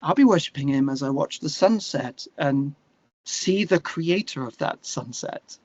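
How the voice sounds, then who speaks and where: Male interview guest, slightly higher register, conversational and opinionated, working through thoughts aloud, on a dull lo-fi recording. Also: animated, a little slow, darkish tone, dry recording. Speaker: interview guest, musician and songwriter.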